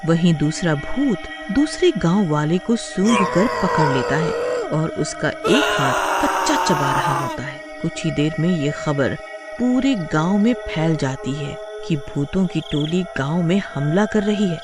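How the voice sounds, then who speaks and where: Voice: mid-range voice, expressive, unhurried, over loud music. A narrator reading a scripted horror tale as a storyteller.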